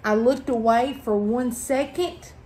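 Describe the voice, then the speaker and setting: A middle-aged woman reading a picture book aloud to children, phrasing expressively and deliberately. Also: on the high side, steady tone, darkish tone, a little hiss or hum. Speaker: library storytime reader. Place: indoors at a table in a small room.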